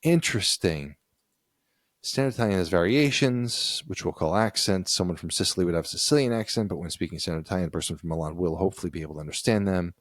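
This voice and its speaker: Low-voiced man co-hosting a chat. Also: lively intonation, fast, no echo, clean studio sound. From United States. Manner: reads passages aloud, then riffs conversationally. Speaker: podcast co-host. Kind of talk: casual chat.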